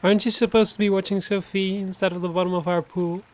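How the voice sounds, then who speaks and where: Man putting on a high-pitched teasing young lifeguard. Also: level tone, fast, close to an everyday voice. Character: charismatic young baby-faced male lifeguard, teasing. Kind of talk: audio horror story dialogue.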